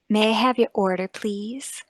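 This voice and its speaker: A woman's voice: medium pitch, no room sound, clean studio sound. A woman playing a nervous, deferential young waitress in a radio mystery drama, speaking hesitantly. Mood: timid, deferential.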